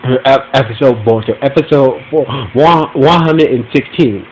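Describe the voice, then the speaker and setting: A man's voice: mid-range voice, moderate pace, lo-fi sound, a little hiss or hum. A man with a stuffy nose hosting a casual podcast, recapping an episode in a rambling way that repeats phrases and keeps restarting sentences. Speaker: podcast host reviewing an episode. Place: room at home with a fan running. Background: humming fan.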